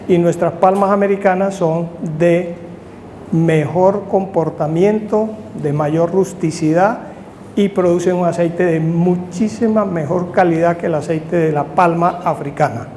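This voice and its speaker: An older man with a Colombian accent giving an academic lecture in measured, halting short phrases with restarts. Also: sits high, steady tone, warm tone. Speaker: university lecturer, agronomist. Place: university seminar room. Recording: faint background noise.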